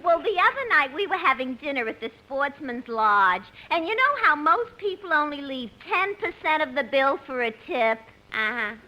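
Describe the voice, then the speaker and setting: A woman's voice: high-pitched, sing-song, moderate pace, hardly any pauses, bright, forceful tone, full character voice. A woman playing a gossipy, wisecracking telephone operator in a 1950s radio comedy. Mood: gossipy, wry. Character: brassy, gossipy female telephone switchboard operators. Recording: noisy 1950s radio recording.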